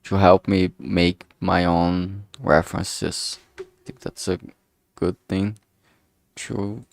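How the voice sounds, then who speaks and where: A man thinking aloud in a halting way, chatting casually on a solo livestream as he works. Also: low-pitched, level tone, gravelly, no echo. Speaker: streamer making a visual novel. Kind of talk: casual solo livestream chat while working.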